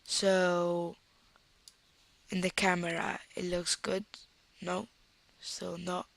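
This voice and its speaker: A young Italian woman with an Italian accent and a cold, talking through a screen-recorded software tutorial and thinking aloud as she clicks, at a desktop mic. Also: on the low side, flat delivery, drawn-out words, vocal fry. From Italy. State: has a cold.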